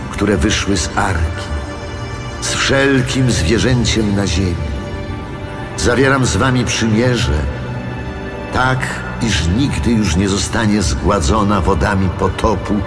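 Casting: solemn divine ruler for Bible audio drama, low, measured, commanding, over music. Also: male voice. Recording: long cavernous reverb over music.

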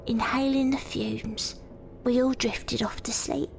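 Woman playing haunted young Victorian woman, hushed near-whisper narration, very gravelly voice. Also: on the high side, fairly even intonation, slow, slight background noise. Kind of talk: first-person audio drama narration.

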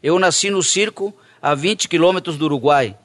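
A middle-aged man from Brazil, chatting quickly and warmly as a guest singer into a stand mic in a rustic studio set. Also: a little high. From southern Brazil. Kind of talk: casual interview chat. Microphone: stand mic in front of the face. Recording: guitar music behind the voice. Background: acoustic guitar playing.

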